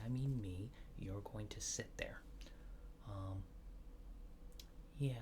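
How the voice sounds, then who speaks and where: Male ASMR performer as a laid-back, reassuring alien examiner: hushed, gravelly, very unhurried and hesitant delivery, sometimes whispered. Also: lowish voice, background noise. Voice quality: soft, partly whispered. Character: calm, casual male alien examiner reassuring an abducted human. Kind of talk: soft-spoken ASMR roleplay.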